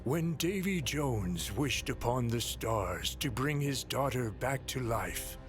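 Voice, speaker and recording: A man playing a solemn narrator who tells a dark pirate legend in a measured, dramatic scripted style. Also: mid-range voice, animated. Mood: solemn, foreboding. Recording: music under the narration.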